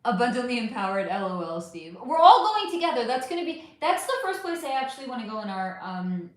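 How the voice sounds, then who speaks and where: A woman's voice: fairly high pitch, very animated, a little fast. A woman hosting a casual livestream chat, profane and affectionate, losing her voice. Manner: chatty, profane, affectionate with viewers. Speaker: livestream host. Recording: very echoey room.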